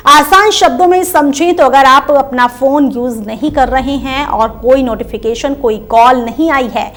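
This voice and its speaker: High-pitched woman narrating a news explainer.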